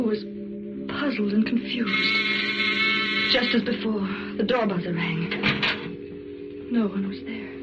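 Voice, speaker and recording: A woman's voice: mid-range voice, natural voice. An actress playing a frightened, hysterical woman haunted by her torment, melodramatic and breathless, in old-time radio suspense style. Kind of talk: old-time radio suspense acting, first-person narration. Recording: noisy, dull vintage radio recording.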